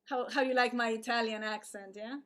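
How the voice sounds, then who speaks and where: A woman hosting an online arts event over a video call, introducing a guest in a halting way with many fillers and restarts. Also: on the high side, fairly even intonation.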